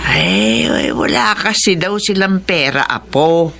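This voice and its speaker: A woman's voice: low voice, very animated, takes it slowly, fairly bright tone, full character voice. An actress playing a kindly, devout old grandmother in a Filipino radio drama, with a tender, sighing delivery. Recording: noisy radio drama recording.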